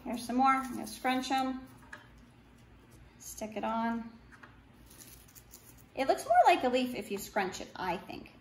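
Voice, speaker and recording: A middle-aged woman gives simple step-by-step craft instructions for children in short, unhurried phrases, recorded indoors from across a table. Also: on the high side. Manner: unhurried, short simple phrases while working with her hands. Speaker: art teacher demonstrating a craft. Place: indoor room at a wooden table. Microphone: camera a couple of meters away. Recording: roomy echo, faint background noise.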